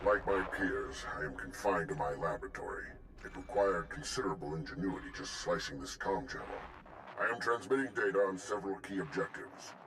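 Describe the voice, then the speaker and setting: A pompous alien scientist played by a man in a game cutscene, speaking very low with florid, haughty formality. The transmission has clear noise behind it. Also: a little fast, close to an everyday voice.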